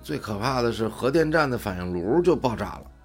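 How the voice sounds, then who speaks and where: An actor playing a casual, curious older brother in a science fiction radio drama, speaking colloquially and offhand. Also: male voice, mid-range voice, expressive, a little fast, faint music behind, close to an everyday voice. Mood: tipsy, explaining. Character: casual, curious man, big brother in sci-fi future.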